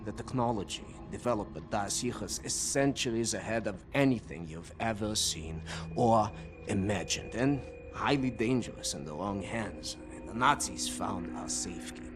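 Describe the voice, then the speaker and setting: An actor playing a learned, proud engineer of a secret society in a video game, speaking solemnly and grandly. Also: male voice, lowish voice, expressive, normal speed, music in the background, close to an everyday voice. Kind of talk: dramatic video game acting.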